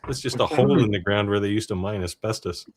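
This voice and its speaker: A man with a fairly low voice hosts a casual live shop-talk show. He explains technical things in a loose, rambling way and chuckles along. He talks over a video call. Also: fast talker, slight background noise. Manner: rambling, laughs while explaining software. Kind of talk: casual livestream chat.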